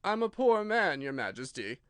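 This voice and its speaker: A man's voice: high voice, big pitch swings, moderate pace, fairly bright tone, dry recording, clean studio sound, voice pushed up. A man voicing a nervous, fidgety hat-maker who pleads in a trembling voice in a storybook reading. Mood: anxious.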